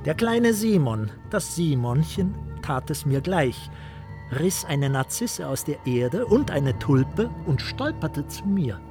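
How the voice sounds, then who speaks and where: A man's voice: fairly high pitch, very animated. Pompous polite storyteller man in a german radio play, voice swoops around, music under it. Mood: fond reminiscing. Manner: formal, theatrical, drawn-out storytelling. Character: Mr Hund, courteous, self-important storyteller savouring his sinister tale.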